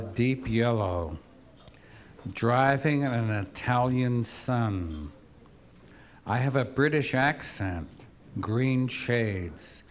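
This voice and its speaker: A man reading his poems aloud to an audience, drunk, gruff and profane, rambling between pieces. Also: medium pitch, a little hiss or hum. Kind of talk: live poetry reading with rambling asides.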